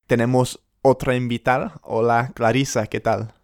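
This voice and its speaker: A woman's voice: very deep voice, level tone, moderate pace, a little mellow, clear, resonant tone. A woman hosting a casual interview podcast, asking short questions and laughing often. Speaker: podcast host.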